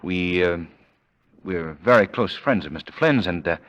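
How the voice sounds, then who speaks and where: Suave, animated gentleman sleuth. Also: low voice, moderate pace, no echo, lo-fi sound. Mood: awkwardly polite. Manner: urbane, decisive. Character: suave, bold male amateur sleuth, adult. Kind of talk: old-time radio mystery acting. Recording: old radio recording with faint noise.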